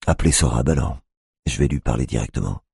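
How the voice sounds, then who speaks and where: A man voicing a patient male detective who keeps pressing his questions calmly. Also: extremely low pitch, fast talker, gravelly, breathy edge, no echo, studio quality, full character voice. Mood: insistent. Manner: calm, insistent questioning.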